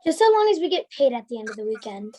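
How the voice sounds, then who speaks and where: A performer putting on a quick cheeky girl. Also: mid-range voice, sing-song, dark tone, slight background noise, pitched above the performer's own voice, lightly acted.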